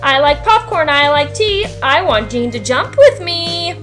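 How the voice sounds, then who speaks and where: A woman's voice: high-pitched, sing-song, measured pace, fairly bright tone, faint music behind, full character voice. A woman voicing a tiny, fearless, sassy schoolgirl in a storybook, playful and sing-song. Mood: playful, inviting.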